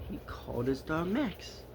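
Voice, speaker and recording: A man's voice: a little high, gravelly, noisy background. A man reading a rhyming children's story aloud theatrically, leaning into words with dramatic emphasis. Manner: dramatic, drawn-out emphasis and repetition.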